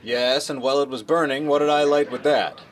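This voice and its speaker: An actor playing a cheerful husband who is wrapping a birthday present, in a radio comedy recreation. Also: male voice, steady tone, a little fast, heavy background noise, natural voice. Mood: jovial. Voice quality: chummy, sing-song pitchman. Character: jolly fussy husband planning a surprise party. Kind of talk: 1950s radio comedy acting.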